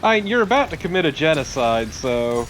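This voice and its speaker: A man's voice: on the high side, very animated, brisk, a bit bright, a little hiss or hum. A man co-commentating on a video game with casual, dry, joking banter.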